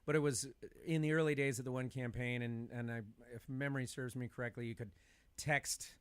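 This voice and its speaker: A man's voice: mid-range voice, fairly even intonation, brisk, clear, resonant tone. A middle-aged man in a casual podcast chat, talkative and opinionated. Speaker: podcast speaker.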